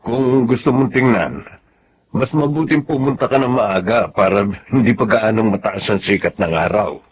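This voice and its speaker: An actor playing a humble, hardworking, stoic farmhand in a Filipino radio drama, speaking plainly and modestly. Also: male voice, lowish voice, moderate pace, husky. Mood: matter-of-fact.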